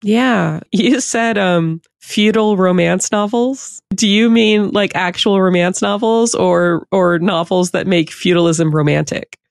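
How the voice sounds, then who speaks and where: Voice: medium pitch, fairly even intonation, unhurried, creaky, few pauses, no echo, studio quality. A podcast host asking questions in a casual, conversational interview style.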